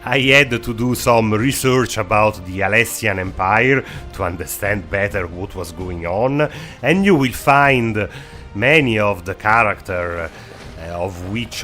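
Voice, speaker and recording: A man's voice: fairly low pitch, sharp tone. A man who speaks English as a second language, giving hesitant, semi-scripted commentary as a game mod reviewer. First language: not English.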